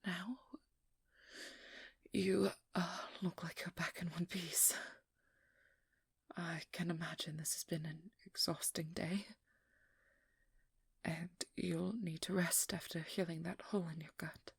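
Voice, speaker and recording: A woman playing a determined, guarded and protective vampire hunter in an intimate ASMR role-play, hushed and often whispering, with halting, earnest delivery. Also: fairly low pitch, fairly even intonation, vocal fry, slightly rough, dry recording, clean studio sound, heavily acted. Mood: tender, subdued. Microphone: close microphone in a dry room.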